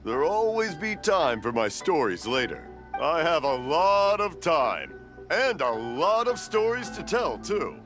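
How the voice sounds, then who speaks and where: Brief: an older, rambling, hard-of-hearing fireworks maker, lilting and animated, game cutscene with music underneath. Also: male voice, a little high, measured pace. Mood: gentle. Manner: rambling, cheerful, mishears questions. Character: kindly, hard-of-hearing old fireworks master.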